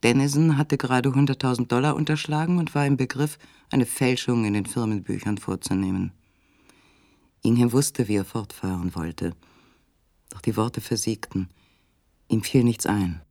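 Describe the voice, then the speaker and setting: A male narrator reads literary prose for a radio drama in a measured, sober manner. His voice is mid-pitched and recorded very dry. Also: darkish tone, no room sound.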